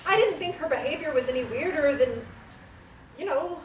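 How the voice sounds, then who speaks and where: A high, lively actress's voice playing a hesitant female therapy newcomer in a drama reading. She sounds tentative and questioning. It is recorded in a roomy space, away from the mic. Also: moderate pace. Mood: uneasy, doubtful. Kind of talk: dramatic table reading of a play. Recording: echoey room, distant mic, noise.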